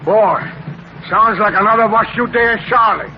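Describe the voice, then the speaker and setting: An actor playing a naive, wide-eyed expedition sidekick in 1930s radio comedy, folksy and exclamatory, on a vintage recording. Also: male voice, high-pitched, moderate pace, muffled recording, natural voice. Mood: amused wonder. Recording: vintage 1930s radio, band-limited, faint noise.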